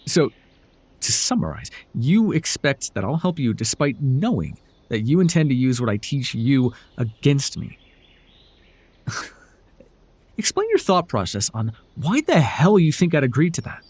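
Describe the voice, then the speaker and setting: A man playing a proud, sardonic telepathic supervillain with hidden tenderness in an ASMR roleplay, theatrical and condescending with a husky voice. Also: mid-range voice, dry recording. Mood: indignant, grandiose. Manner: theatrical, grandiose, flustered, dryly condescending.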